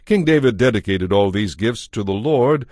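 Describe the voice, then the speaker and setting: A fairly low-voiced man reads scripture as a narrator. His delivery is measured and solemn, in a scripted audiobook style. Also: expressive, a little mellow, no echo, studio quality.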